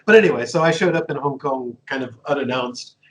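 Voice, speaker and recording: A man's voice: medium pitch, a little fast. A man in his fifties from the United States, a guest telling his life story in a casual interview with fillers, restarts and frequent laughter. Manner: chatty, laughs often, many fillers and restarts.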